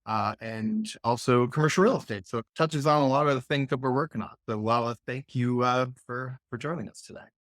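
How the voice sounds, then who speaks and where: A man hosting a podcast, introducing his guest in a relaxed way with a lot of "uh" fillers. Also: mid-range voice, dry recording. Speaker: podcast host. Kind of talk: casual interview hosting.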